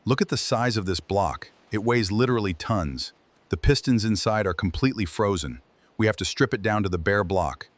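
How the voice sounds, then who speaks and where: Low-voiced man narrating over clear noise. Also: moderate pace. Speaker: narrator of restoration video. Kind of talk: scripted narration. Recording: noticeable background noise.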